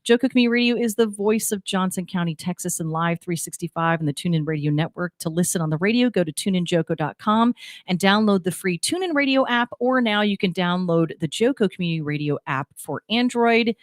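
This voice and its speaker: A woman's voice: on the low side, no echo. A woman from New Jersey with a New Jersey accent, hosting a radio show in casual, rambling chat with guests at a studio table. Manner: chatty, rambling, self-deprecating.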